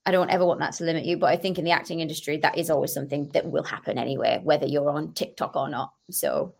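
A woman's voice: low voice, fairly even intonation, fast talker, creaky, a little mellow. A woman podcast guest, an actress and comedian, chatting casually and telling anecdotes, often acting out the dialogue.

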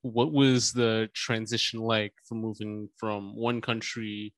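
A man's voice: fairly low pitch, little pitch movement, takes it slowly, dry recording, clean studio sound. A man hosting a podcast, asking long, meandering questions padded with fillers. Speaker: podcast host. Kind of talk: casual podcast interview.

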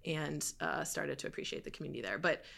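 A woman's voice: low voice, fast talker, gravelly. A young woman from Michigan, a podcast guest talking casually with lots of fillers. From Michigan, USA. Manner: relaxed, frequent fillers and laughs. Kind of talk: casual interview chat.

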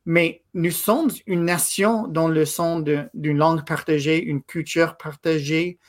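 A man in his thirties, a native English speaker from Quebec, giving a guest interview in a thoughtful, halting way. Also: fairly high pitch, fairly even intonation. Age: 30s. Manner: thoughtful, halting, with frequent pauses and restarts. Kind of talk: casual interview conversation.

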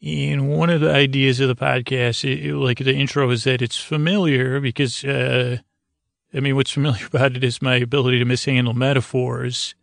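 A man's voice with plenty of vocal fry, recorded very dry. He hosts a bedtime podcast, rambling along in a soothing monologue. He is whimsical and self-deprecating, often losing his thread and circling back to it. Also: medium pitch, flat delivery, moderate pace, dry recording. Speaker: host of a sleep podcast.

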